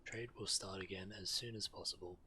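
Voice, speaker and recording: A proud walrus-folk chieftain from a fantasy game. The voice is very low and forceful, solemn and resolute in delivery. Faint ambient noise sits behind the words. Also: male voice, fairly even intonation, fairly quick. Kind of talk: video game character dialogue.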